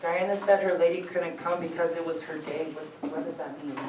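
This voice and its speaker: A woman's voice: lowish voice, fairly even intonation, fairly quick, natural voice. A woman playing an awkward, joking man at a backyard cookout in a staged play reading. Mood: puzzled. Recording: roomy, distant mic, noisy hiss.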